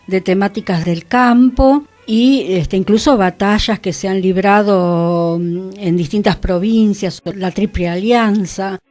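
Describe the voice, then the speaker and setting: A woman's voice: mid-range voice, darkish tone. A woman with a Buenos Aires Argentine accent, hosting a radio show in a conversational way. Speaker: radio host; actress. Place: radio studio.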